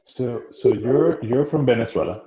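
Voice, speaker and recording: A man hosting an interview podcast. He often restarts a thought and asks several questions in a row. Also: medium pitch. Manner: talks slowly, stacks up questions with restarts. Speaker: podcast host. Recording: roomy, muffled audio with faint noise.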